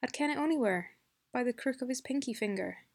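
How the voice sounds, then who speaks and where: A woman's voice: sits high, expressive, brisk, vocal fry, a little mellow, exaggerated voice. Woman voicing a frantic grieving father. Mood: distraught. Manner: cries out in alarm. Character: grieving father, distraught working man.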